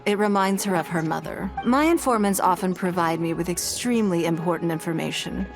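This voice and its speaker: A woman's voice: fairly low pitch, fairly quick, music in the background, lightly acted. A woman voicing a poised, gracious and wealthy woman of influence in a video game, speaking formally and articulately. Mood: composed, assured.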